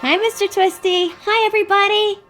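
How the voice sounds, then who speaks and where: A woman's voice: extremely high pitch, steady tone, moderate pace, fairly bright tone, soft background music. A woman playing a sweet, bubbly magical auntie on a children's show, chirpy and cheerful.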